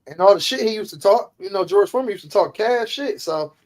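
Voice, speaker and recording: A man in his forties with a fairly high voice throws in loud, emphatic, profane remarks. He speaks in a fast, brash way throughout. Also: animated. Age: 40s. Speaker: podcast co-host. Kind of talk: casual chat.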